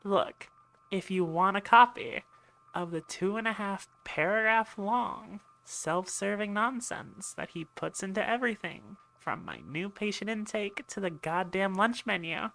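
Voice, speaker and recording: A woman's voice: fairly low pitch, creaky, fairly bright tone. A woman playing a brusque, sarcastic ship medic in an ASMR roleplay, dry and impatient, talking straight to her patient. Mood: exasperated. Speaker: voice actor in audio roleplay. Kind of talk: ASMR roleplay acting, speaking to listener.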